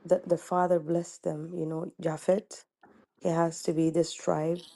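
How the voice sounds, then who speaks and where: Low, slow woman with an Ethiopian accent. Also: level tone, creaky, mellow. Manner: halting, searching for words. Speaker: caller.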